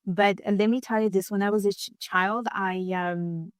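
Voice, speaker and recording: A woman's voice: mid-range voice, steady tone, normal speed, dry recording, studio quality. A young woman from Italy, a podcast guest who answers reflectively, with many fillers and restarts. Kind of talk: conversational interview answers.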